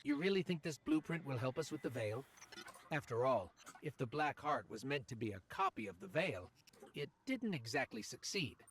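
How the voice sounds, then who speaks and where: A man's voice: mid-range voice, very animated, normal speed, slight background noise, close to an everyday voice. A man acting a plucky, inquisitive companion in a video game, with a conversational, questioning manner. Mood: curious, wry.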